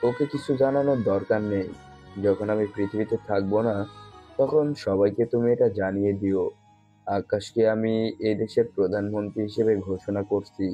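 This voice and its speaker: A man's voice: fairly low pitch, fairly even intonation, normal speed, mellow, husky, faint music behind. A man voicing a dying old prime minister. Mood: grave. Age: older. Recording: dull muffled lo-fi audio.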